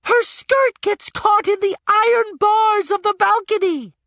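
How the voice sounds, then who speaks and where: Lady doing a little boy puppet show announcer, super high voice, dramatic and excitable, storybook style. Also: measured pace, dry recording, clean studio sound, exaggerated voice. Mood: alarmed, excited. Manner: breathless, dramatic showman's delivery. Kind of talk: theatrical children's storybook read-aloud.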